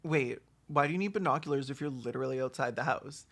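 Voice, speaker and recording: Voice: medium pitch, fast, heavy background noise. A video host giving comedic reaction commentary in a theatrical, exclamatory and sarcastic way.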